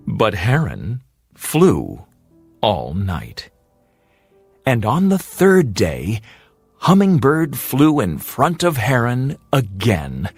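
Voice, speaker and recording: A storyteller narrating a fairy tale for English learners in a deliberate, expressive way, drawing out repeated words. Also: medium pitch, breathy edge, dry recording.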